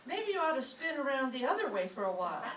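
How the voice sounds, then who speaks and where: A woman's voice: high-pitched, sing-song, fast, a bit bright. A woman playing a wry, quippy schoolteacher with dry comic delivery, in an old-time radio comedy. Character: wry, wisecracking female schoolteacher. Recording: echoey stage, distant mic, faint noise.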